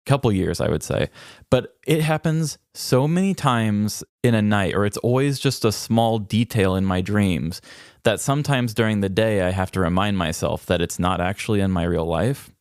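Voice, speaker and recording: A man's voice: on the low side, clean tone, no room sound, studio quality. A man co-hosting a podcast, telling personal stories in a casual, conversational way and acting out bits of dialogue. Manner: conversational, anecdotal, quotes people in dialogue. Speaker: podcast co-host. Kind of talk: casual storytelling chat.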